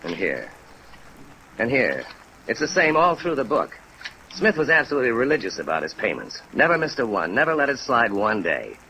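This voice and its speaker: An actor playing an earnest, discouraged American insurance claims man, halting and sincere, in a 1950s radio drama. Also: mid-range voice, wildly swooping pitch, bright, forceful tone, heavily acted, rough recording. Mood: earnest, weary. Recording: vintage radio recording with rain noise.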